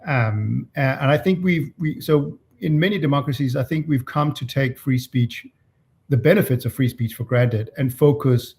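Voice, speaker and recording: Male, mid-pitched; author in interview, measured and argumentative, with halting delivery. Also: a little mellow. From Denmark. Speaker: author and free speech advocate being interviewed. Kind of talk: thoughtful interview answers.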